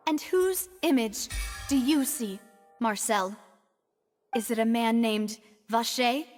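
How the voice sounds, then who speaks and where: A woman's voice: a little high, slow pace, darkish tone. A woman playing a confident, poised young female accuser in a dramatic video game, assured and pointed. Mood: challenging. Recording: music under the voice.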